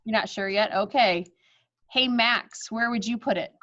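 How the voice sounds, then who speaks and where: A woman teacher reading a storybook aloud to children, expressive and encouraging, over a dull video call. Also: on the high side, measured pace, a little hiss or hum. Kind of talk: storybook read-aloud with chat to pupils. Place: online video class.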